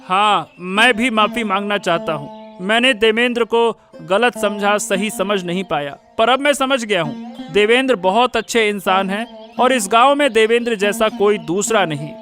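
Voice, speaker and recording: A man's voice: sits high, normal speed, music in the background, lightly acted. A man voicing a remorseful young village man in a cartoon, speaking plainly and apologetically. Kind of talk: Hindi cartoon dubbing.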